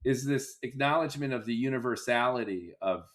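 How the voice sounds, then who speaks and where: A man hosting a podcast, talking in a reflective, wordy way with drawn-out phrasing in a casual political debate. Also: mid-range voice. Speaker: podcast host.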